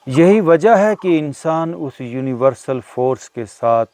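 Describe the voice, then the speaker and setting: A man leading a guided meditation as a teacher, speaking deliberately with short pauses between phrases. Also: on the high side, lively intonation, mellow, slight background noise. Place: outdoors in a natural setting. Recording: outdoor recording with birdsong behind. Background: birdsong.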